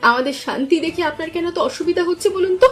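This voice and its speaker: A woman's voice: extremely high pitch, quick pace, soft background music. A woman voicing an honest, indignant young villager in a cartoon, earnest and protesting. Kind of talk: cartoon dialogue acting.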